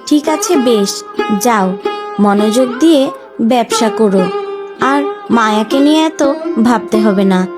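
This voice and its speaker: Cartoon stepmother, played by a woman: high voice, unhurried delivery, scheming and resentful, music underneath. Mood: falsely reassuring. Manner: scolding, grumbling. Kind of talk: Bengali cartoon voice acting.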